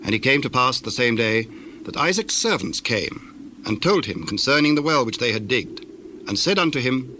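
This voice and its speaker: A man narrating scripture from a script in a measured, formal way. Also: medium pitch, lively intonation. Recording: faintly noisy old recording.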